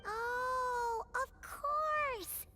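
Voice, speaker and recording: An actress voicing a tiny, excitable fairy-like girl companion in a video game, squeaky and childlike, exclaiming as she talks. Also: very slow, music in the background, heavily acted. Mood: surprised, excited. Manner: exclaiming, refers to self in third person.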